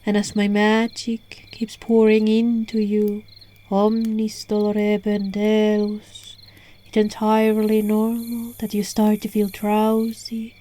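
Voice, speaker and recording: Slow, hushed alluring witch played by a woman. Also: a little high. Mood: lulling, drowsy. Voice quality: soft, breathy, often whispered. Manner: soothing, hushed, unhurried, coaxing. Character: alluring witch casting a sleepy hypnotic spell, gentle, coaxing. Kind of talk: ASMR roleplay, slow hypnotic incantation. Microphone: phone. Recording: lo-fi phone microphone recording.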